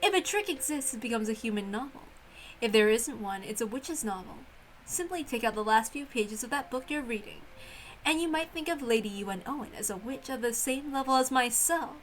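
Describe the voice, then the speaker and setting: A woman's voice: fairly high pitch, expressive, a little fast, slight background noise, close to an everyday voice, poor audio. A woman voicing a haughty, playful witch centuries old, theatrical and teasing, in a dramatic visual novel reading. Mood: smug, intrigued. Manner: theatrical, teasing, given to chuckling. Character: haughty, playful centuries-old female witch who relishes mystery games.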